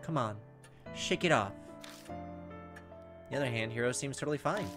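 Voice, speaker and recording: A man's voice: mid-range voice, expressive, moderate pace. Man reading a horror game over music. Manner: casual, profane asides. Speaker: gaming video host. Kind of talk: reading a horror visual novel aloud with joking asides. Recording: music behind the voice.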